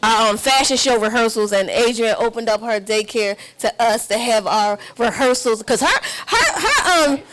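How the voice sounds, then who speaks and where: A woman with a high-pitched voice speaks as a show host in a casual, unscripted way. She is effusive and often doubles back on phrases to drive them home. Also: animated, normal speed, few pauses, a bit bright, poor audio. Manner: effusive, repeats phrases for emphasis. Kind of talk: casual live introductions and chat.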